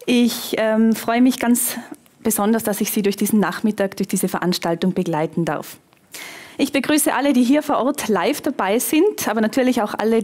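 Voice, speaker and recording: A woman in her thirties with a Tyrolean Austrian accent, hosting an event on stage in a lively, chatty, self-joking way. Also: fairly high pitch. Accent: Tyrolean Austrian German. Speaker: event host, TV presenter. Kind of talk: event moderation. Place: conference hall on a stage.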